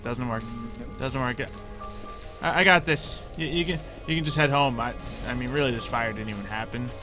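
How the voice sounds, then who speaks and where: A man's voice: medium pitch, moderate pace. A man doing casual, improvised Minecraft roleplay commentary in an offhand, playful way. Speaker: gaming video creator. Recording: music under the voice. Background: game music.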